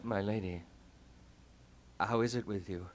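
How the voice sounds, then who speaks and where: A man's voice: fairly low pitch, steady tone, measured pace, very noisy, natural voice. A man acting a mocking, impulsive, grief-maddened young prince in a theatrical Shakespearean puppet show. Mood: awed agitated. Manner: dramatic, declaiming, sardonic.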